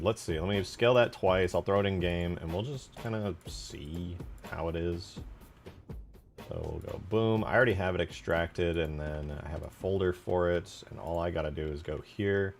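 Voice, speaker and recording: A man giving casual, unscripted commentary as he works on a game mod, thinking aloud in informal, slangy phrasing. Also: low-pitched. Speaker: game modder hosting a development stream.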